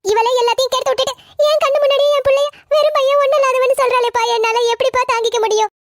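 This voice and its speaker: Fast, very high-pitched tearful mother. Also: fairly even intonation, dry recording, studio quality. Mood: tearful grief. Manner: plaintive, lamenting. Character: tearful long-suffering mother of a grown son. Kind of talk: melodramatic serial acting.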